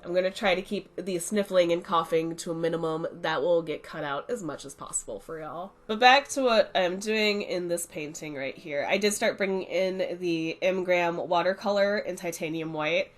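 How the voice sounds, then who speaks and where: A woman's voice: lowish voice, moderate pace, few pauses. A young woman, congested from a cold, chatting casually as she talks through her art supplies. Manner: chatty, relaxed, explaining as she goes. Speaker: art channel host. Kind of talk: casual art review voice-over.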